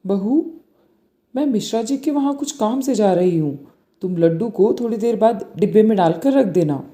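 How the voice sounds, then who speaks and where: A woman's voice: mid-range voice, expressive, normal speed, dark tone, close to an everyday voice. A woman voicing a bossy, suspicious older mother-in-law in a moral storybook tale, with a commanding, scolding manner. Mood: instructing. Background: faint music.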